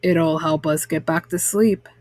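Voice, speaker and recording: A woman voicing a calm, practical father bear in a storybook, steady and reassuring. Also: low voice, a little slow, no room sound, voice pushed down.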